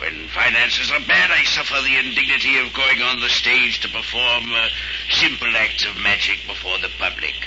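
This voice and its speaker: Actor as a pompous, gravelly old-radio magician. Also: male voice, mid-range voice, normal speed, lightly acted. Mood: disdainful. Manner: pompous, formal, haughty. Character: pompous, fat, silver-haired travelling magician, self-styled great man. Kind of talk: 1940s radio acting. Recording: noisy, dull old radio recording.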